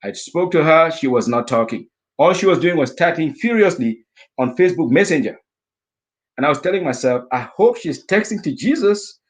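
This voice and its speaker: A middle-aged man from Africa telling a vivid personal story as a guest speaker, acting out his own inner thoughts in lively asides. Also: on the high side, moderate pace. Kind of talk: storytelling talk.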